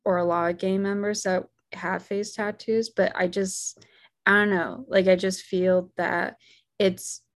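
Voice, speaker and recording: A woman's voice: medium pitch, level tone, measured pace. Casual chatty woman, full of fillers. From United States. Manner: lots of "like" and "you know", restarting sentences. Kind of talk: casual conversation.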